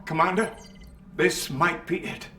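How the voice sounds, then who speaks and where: Game cutscene commander: emphatic, self-righteous, sharp bright tone, recorded off-mic with faint noise. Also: male voice, fairly high pitch, steady tone, a little slow, recorded from a distance. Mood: eager, resolute. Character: commanding male allied military leader, brisk and self-righteous. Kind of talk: video game cutscene acting.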